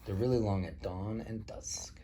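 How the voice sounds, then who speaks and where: A man's voice: on the low side, fairly even intonation, moderate pace, mellow. A man reading a picture book aloud to a child as a father, explaining things simply along the way. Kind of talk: picture book read-aloud with casual asides. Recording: faint background noise.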